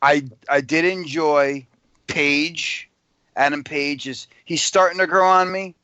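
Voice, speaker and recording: A man's voice: a little high, steady tone, slow pace, pushed and bright, smooth, no echo. A man co-hosting a podcast in casual chat, blunt and profane, ranting with sarcasm. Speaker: podcast co-host. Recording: dull lo-fi sound.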